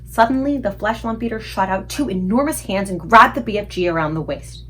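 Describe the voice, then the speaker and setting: A woman reading a children's book aloud in an expressive storytelling style. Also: medium pitch, a little fast. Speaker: read-aloud book reader.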